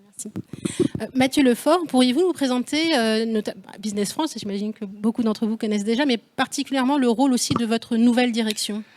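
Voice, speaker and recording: A woman with a fairly high, mellow voice moderates a panel, handing the floor to each guest. She is polite and fills pauses with many hesitations. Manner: polite, filler-heavy introductions.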